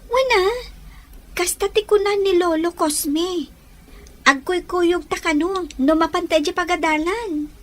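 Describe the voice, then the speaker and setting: A woman's voice: extremely high pitch, measured pace. A woman playing a sweet, coy schoolgirl, friendly and coaxing, in an Ilocano radio drama.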